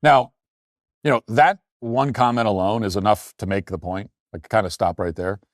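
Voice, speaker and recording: A man's voice: fairly low pitch, steady tone, moderate pace, no room sound, clean studio sound. An American man hosting an opinion show, delivering an argumentative commentary monologue with emphatic points and rhetorical questions. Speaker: political commentary show host. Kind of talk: opinion commentary monologue, reading posts aloud.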